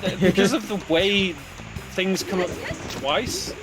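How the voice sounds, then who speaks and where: Slightly high-voiced man explaining over game music. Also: lively intonation, slow. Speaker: speedrun commentator. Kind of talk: casual explanatory game commentary. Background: video game music.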